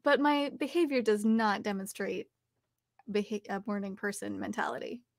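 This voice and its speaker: A young woman with a Canadian accent chats casually as a guest in an interview. Her voice sits at an ordinary pitch for a woman. The sound is dry, close and free of room echo. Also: fairly even intonation, normal speed, creaky. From Calgary, Canada. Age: young adult. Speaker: interview guest, actor and voice artist. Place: small home studio, video call.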